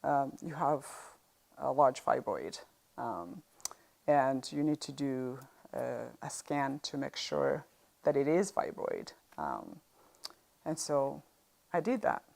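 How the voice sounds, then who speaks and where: Low, gravelly East African woman. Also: big pitch swings, takes it slowly, a little mellow. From Uganda. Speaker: interview guest sharing a health experience. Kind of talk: conversational personal testimony.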